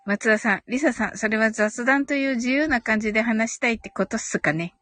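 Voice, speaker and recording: A woman's voice: fairly high pitch, fairly quick, fairly bright tone, dry recording. A woman hosting a casual live audio chat, giggly and chatty, reading out comments and murmuring agreement. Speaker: host of a live audio stream.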